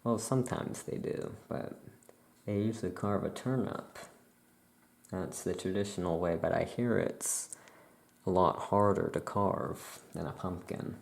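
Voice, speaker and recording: A performer voicing a forgetful, easygoing witch shopkeeper in a soft-spoken, halting ASMR roleplay, rambling absent-mindedly. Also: sits low, steady tone, vocal fry. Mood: absent-minded, relaxed.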